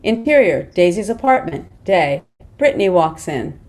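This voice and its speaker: A woman's voice: on the low side, big pitch swings, normal speed, a little mellow. A woman reading script directions in a plain, matter-of-fact way at a table read. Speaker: stage directions reader.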